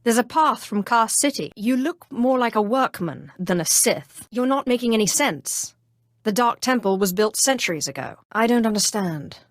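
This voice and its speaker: An actress playing a cold, haughty, cunning female Sith sorceress in a video game, speaking in a composed, imperious and deliberate way. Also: a little high, lively intonation, dry recording, studio quality, natural voice. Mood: probing, stern. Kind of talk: scripted role-playing game dialogue.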